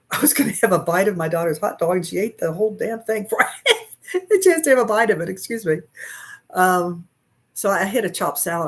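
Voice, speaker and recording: A woman in her sixties chatting casually and laughing easily while she crochets, answering viewers' comments on a livestream from a small room at home, recorded on a webcam. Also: lowish voice. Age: 60s. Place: small bedroom at home, indoors. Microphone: webcam at desk.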